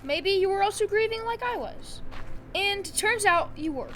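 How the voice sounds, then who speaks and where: High-voiced, earnest young hero played by a woman. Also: expressive, normal speed, a bit bright. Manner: earnest, reflective. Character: principled, gentle young hero, accepting of loss. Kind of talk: scripted audio drama acting.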